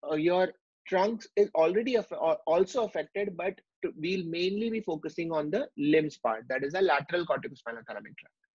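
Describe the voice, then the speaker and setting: A man's voice: a little high, animated, a little fast, a little mellow, breathy edge, no echo. A man teaching a medical lecture over a drawn whiteboard, explaining step by step with many fillers. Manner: explanatory, with frequent fillers and restarts. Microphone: online class recording. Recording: dull lo-fi dry sound.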